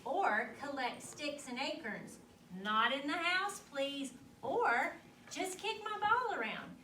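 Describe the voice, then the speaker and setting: A woman reading a picture book aloud to children, expressive and teacherly, pausing to put questions to her young listeners. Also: sits high. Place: echoey indoor room at a school literacy event. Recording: roomy, distant mic with clear background noise.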